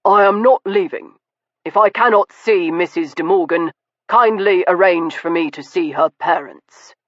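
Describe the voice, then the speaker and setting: A woman's voice: lowish voice, unhurried, no echo. A woman voicing a tall, imposing aristocratic man who speaks in clipped, firm tones, on a dull, dry recording. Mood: commanding.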